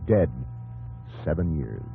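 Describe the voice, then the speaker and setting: An American actor playing a solemn, probing narrator in dramatic 1950s radio style, addressing the hero directly. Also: male voice, a bass voice, sing-song, slow pace, gravelly, slight background noise, heavily acted. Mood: hushed, suspenseful. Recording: muffled old radio broadcast, music underneath.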